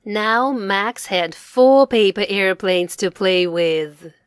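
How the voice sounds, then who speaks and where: A woman's voice: mid-range voice, slow pace, clean tone, no room sound, studio quality. A woman reading a children's story aloud in simple, separated phrases with a teacherly manner.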